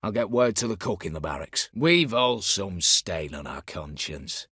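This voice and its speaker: An actor playing a shrewd, vengeful heist mastermind in a video game, speaking in a clipped, commanding way with archaic turns of phrase. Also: male voice, low voice, big pitch swings, unhurried, bright, forceful tone, breathy edge, no echo, studio quality, full character voice. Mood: brisk, commanding. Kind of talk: dramatic video game voice acting.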